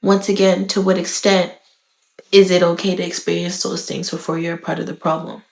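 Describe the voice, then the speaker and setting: Low, gravelly-voiced reflective woman. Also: little pitch movement, roomy sound, rough recording. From the Bahamas. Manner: thoughtful, candid, measured. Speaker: vlogger. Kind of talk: reflective talk to camera.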